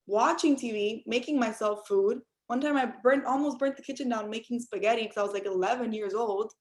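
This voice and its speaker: Earnest Cuban-born woman, higher voice. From Cuba. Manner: earnest, direct, addresses listeners as you all. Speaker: motivational speaker. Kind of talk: motivational talk on a group call. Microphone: call audio.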